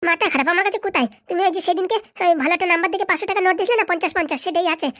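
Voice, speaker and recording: Feisty comedy-cartoon younger sister, acted by a woman: suspicious, chatty, rapid, closely recorded dry sound. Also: very high voice, fairly bright tone, dry recording.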